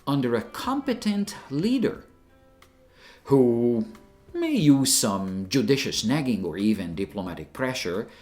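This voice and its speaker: A man's voice: mid-range voice, darkish tone. An older man from Hungary, a retired teacher giving an emphatic, opinionated scripted narration.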